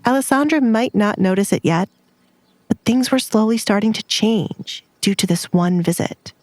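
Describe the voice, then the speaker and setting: A woman's voice: medium pitch, moderate pace, darkish tone, dry recording. A woman reading scripted narration of a romance story as an audiobook narrator.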